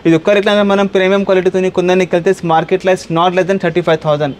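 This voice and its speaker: Very fast-talking Hyderabad Telugu salesman. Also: high-pitched, steady tone, darkish tone. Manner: repetitive, insistent salesman patter mixing in English words. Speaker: furniture shop owner and salesman. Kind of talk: unscripted sales pitch in a product showcase. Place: large indoor furniture showroom. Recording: clear background room noise.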